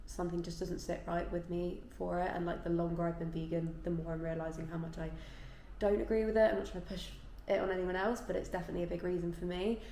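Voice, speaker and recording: Woman, twenties, casual chatty vlog Q&A, gravelly fry in the voice, roomy echo, informal phrasing. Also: lowish voice, little pitch movement, fast, warm tone. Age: 20s. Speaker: vlogger answering viewer questions. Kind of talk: casual chat to camera, Q&A. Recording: echoey room with faint background noise.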